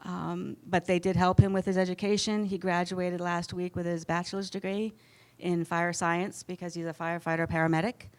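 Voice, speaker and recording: A middle-aged woman speaks in a low, fairly even voice that stays smooth and clear. She introduces herself on a conference panel in a heartfelt way, with frequent fillers. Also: moderate pace. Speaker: corporate panelist. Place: conference panel in front of an audience.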